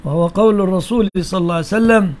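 A man's voice: sits high, rapid-fire, a little mellow. A man with an Egyptian accent hosting a live call-in religious question session, answering callers bluntly and questioning them back.

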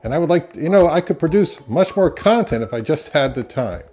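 A man's voice: on the high side, expressive, brisk, a little mellow, faint music behind. A middle-aged man, around his fifties, giving a conversational product review as the host of an audio gear channel. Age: 50s to early 60s. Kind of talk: conversational, to camera.

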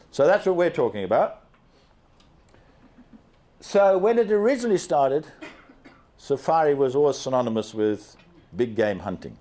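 An older man from Zambia holds a handheld microphone as he walks a seated audience through a slide presentation. He talks in an animated way and keeps asking the listeners questions, with a voice in a middle pitch. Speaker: guest speaker. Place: large banquet hall with seated audience.

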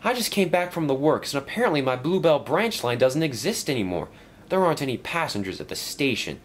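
A man's voice: mid-range voice, sing-song, fairly quick, a little hiss or hum, pitched above the performer's own voice, natural voice. A man doing Stepney, a fretful old engine. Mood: anxious. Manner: anxious, earnest. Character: fussy old male steam engine.

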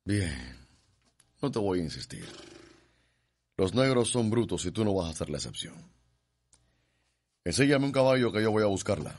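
An actor playing a haughty, imperious gentleman visitor in a radio soap opera. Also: lowish voice, big pitch swings, normal speed, long pauses. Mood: contemptuous.

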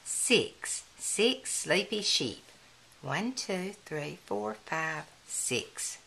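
A woman reading a children's picture book aloud in a deliberate manner, as if counting along with young listeners. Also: fairly low pitch, expressive, vocal fry, pushed and bright, slight background noise.

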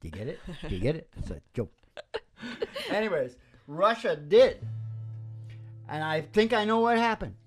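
A man's voice: high-pitched, big pitch swings, normal speed, pauses often. An older man hosting a music show, chatting casually and telling rambling, jokey stories. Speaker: musician and radio show host. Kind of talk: casual joking banter between songs. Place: small wood-panelled room. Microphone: stand microphone up close. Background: acoustic guitar.

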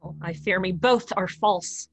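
A woman voicing a sharp-tongued young male Elizabethan player, with archaic stage speech and quick retorts. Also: on the low side. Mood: defiant. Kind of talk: audio drama acting.

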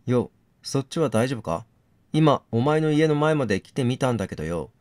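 A man playing a blunt, brotherly best friend in a game, talking in rough casual speech. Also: medium pitch, very animated, fairly quick, a little mellow, no room sound, natural voice. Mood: urgent. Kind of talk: game dialogue reading.

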